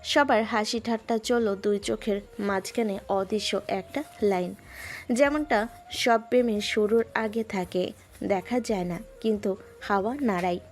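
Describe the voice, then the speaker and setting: A woman's voice: mid-range voice, fairly even intonation. Woman narrating a romance story. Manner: measured, lyrical.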